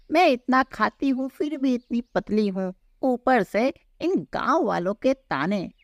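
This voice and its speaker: A woman voicing a skinny, harassed village cow in a children's cartoon story, with plaintive, expressive delivery. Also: medium pitch, creaky, dry recording, studio quality.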